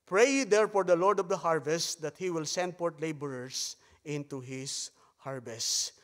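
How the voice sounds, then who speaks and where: A man from the Philippines with a Filipino accent and Tagalog as his first language, preaching a sermon at a pulpit microphone with frequent hesitations. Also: fairly high pitch. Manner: halting delivery with frequent hesitations; softer, hushed tone while praying. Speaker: visiting missionary pastor. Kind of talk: sermon with Bible reading and prayer. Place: church sanctuary. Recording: long church hall reverb.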